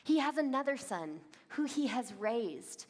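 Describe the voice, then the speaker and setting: Lady preacher, kinda high voice, chatty sermon talking right to the listeners, long church reverb. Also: very animated, a little slow, a little mellow. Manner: relatable, addresses listeners directly with reflective questions. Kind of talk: conversational sermon to a congregation. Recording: reverberant hall, amplified sermon.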